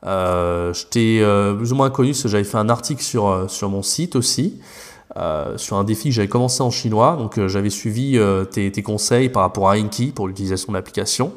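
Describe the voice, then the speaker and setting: A man's voice: lowish voice, a little fast. A French man, a language teacher, talking casually as a podcast guest, rambling with many fillers and restarts.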